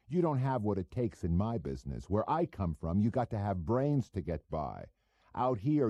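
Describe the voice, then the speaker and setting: A man's voice: fairly low pitch, mellow, dry recording, muffled recording, voice pushed up. A man playing a sneering, talkative, cynical wise-guy operative with a cocky, slangy manner in an audiobook. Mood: sneering contempt.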